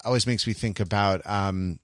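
Low-voiced man, halting podcast talker. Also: no echo, clean studio sound. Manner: halting, self-interrupting, repeats words. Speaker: podcast co-host. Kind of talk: casual literary discussion.

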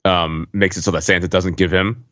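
A man's voice: low voice, little pitch movement, normal speed, a bit bright, no echo. A man co-hosting a podcast in casual discussion, with slightly dull lo-fi audio. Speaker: podcast host.

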